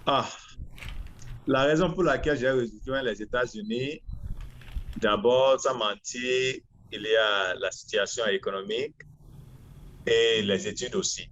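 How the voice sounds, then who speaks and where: A man in his forties from Benin with a Beninese accent, answering interview questions in a halting, deliberate way. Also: medium pitch, a bit bright, muffled recording, slight background noise. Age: 40s. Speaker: interview guest, lawyer.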